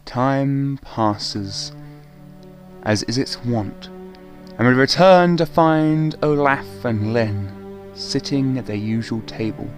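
A man narrating a gothic radio play in a deliberate, theatrical scripted style. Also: medium pitch. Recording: faint music behind narration.

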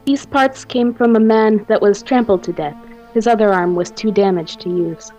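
A woman's voice: medium pitch, normal speed, mellow, natural voice. A woman playing a commanding, composed mad scientist mistress in an amateur radio play. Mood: clinical. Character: commanding female mad scientist, devoted to undead lover. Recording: faint music behind the voice.

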